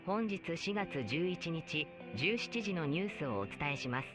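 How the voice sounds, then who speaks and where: Man playing a high-pitched formal newsreader. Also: sing-song, over music. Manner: formal, measured. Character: formal male evening news announcer in an outbreak. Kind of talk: scripted formal news bulletin.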